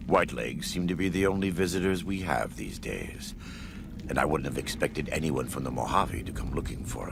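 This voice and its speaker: A man playing a solemn, devout war leader in a video game, gravelly, measured and gravely courteous. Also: extremely low pitch, background noise, exaggerated voice. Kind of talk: video game character dialogue.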